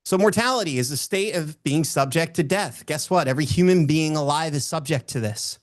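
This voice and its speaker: A man with a booming voice speaking as a motivational speaker, telling personal stories and repeating phrases for emphasis. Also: brisk, no echo, studio quality. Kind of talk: motivational talk with personal storytelling.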